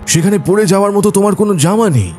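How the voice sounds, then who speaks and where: A man's voice: high-pitched, lively intonation, rapid-fire, music in the background. An actor playing a firm, controlling man who speaks in an instructive way in an audio drama. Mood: admonishing. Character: controlling men quoted in a woman's recollection, admonishing. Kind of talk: dramatised audio story acting.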